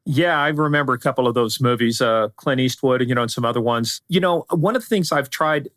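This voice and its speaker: A man's voice: medium pitch, fairly quick, fairly bright tone, dry recording, clean studio sound. A middle-aged or older man with an American accent, giving emphatic, informal, anecdotal answers as an expert interview guest. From United States, spent part of childhood in Iran. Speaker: security expert guest, former law enforcement advisor.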